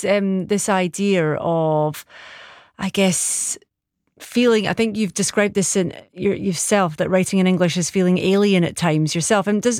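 Female radio host, dry studio sound, halting questions with restarts. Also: medium pitch, dry recording. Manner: warm, hesitant questioning with restarts. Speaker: radio presenter. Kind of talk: radio interview.

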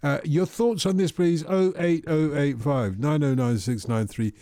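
A man's voice: on the high side, no echo, studio quality. A man presenting an overnight radio phone-in, chatting casually and reading the news headlines. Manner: relaxed, chatty, quick asides.

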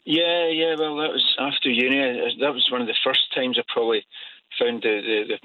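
A man's voice: mid-range voice, fairly even intonation, brisk. A Scottish man in his forties calling into a radio show, telling stories with enthusiasm. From Scotland. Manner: enthusiastic storyteller. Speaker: caller. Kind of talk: phone-in chat. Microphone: phone. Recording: tinny phone line.

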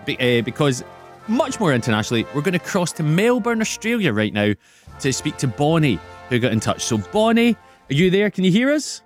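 A man's voice: a little high, very animated, a little fast, faint music behind. A male radio host chatting playfully with a caller and co-hosts, often laughing. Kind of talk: casual radio chat. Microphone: studio microphone.

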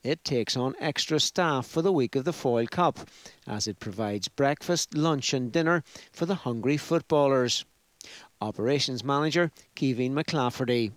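A man's voice: mid-range voice, moderate pace. A man reading a news report as a scripted voice-over.

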